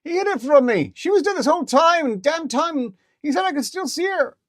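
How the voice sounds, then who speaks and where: A man's voice: very high-pitched, sing-song, moderate pace, no room sound, clean studio sound, pitched above the performer's own voice, exaggerated voice. A man voicing a foul-mouthed, fiercely loyal female talking ferret. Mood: grieving fury. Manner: brash, loud, slangy, swears.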